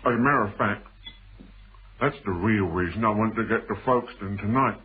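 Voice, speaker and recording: An English actor playing a smooth, sinister man posing as a stranded traveller in a British radio thriller, breezy, knowing and over-familiar. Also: on the low side, a little fast. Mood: glib, evasive. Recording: noisy, tinny old radio drama recording.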